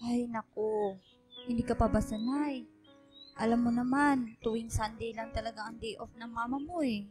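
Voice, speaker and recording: A woman's voice: fairly high pitch, steady tone, normal speed, a little mellow, faint music behind, close to an everyday voice. A woman playing a caring household elder in a radio drama, with a motherly, reassuring manner. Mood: matter-of-fact concern.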